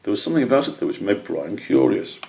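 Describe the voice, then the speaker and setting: Low, gravelly male storybook narrator. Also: sing-song, fast, darkish tone, rough recording. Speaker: story reader.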